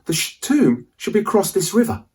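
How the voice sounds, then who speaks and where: A man's voice: high-pitched, big pitch swings, fairly quick, darkish tone, distant mic, voice pushed up. A man voicing a bold boy treasure hunter in a children's storybook, speaking in urgent, dramatic exclamations. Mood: grim, serious.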